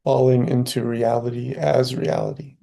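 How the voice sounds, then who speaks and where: A man leading a guided meditation, speaking calmly in short phrases with long pauses between them. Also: medium pitch, gravelly. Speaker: meditation teacher.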